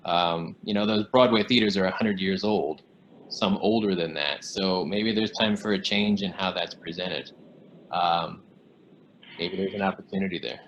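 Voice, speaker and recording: A low-voiced man talks through a muffled, lo-fi video call, with faint noise behind him. He rambles loosely and leans on fillers. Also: normal speed, fairly bright tone. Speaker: documentary producer. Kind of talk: casual panel discussion.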